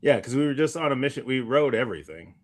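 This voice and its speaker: A man's voice: mid-range voice, animated, fast. A man co-hosting a podcast, chatting casually in informal, slangy speech. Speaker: podcast co-host. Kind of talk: casual chat.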